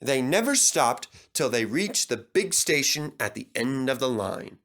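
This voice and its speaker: A man's voice: medium pitch, sing-song, measured pace, fairly bright tone. A man narrating a scripted children's story as a storybook reader.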